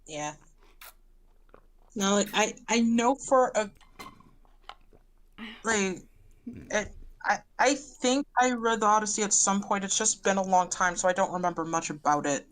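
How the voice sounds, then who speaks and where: A woman's voice: medium pitch, measured pace, pauses often. A woman chatting casually, hesitant with fillers and restarts. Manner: hesitant, laughs easily. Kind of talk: casual chat.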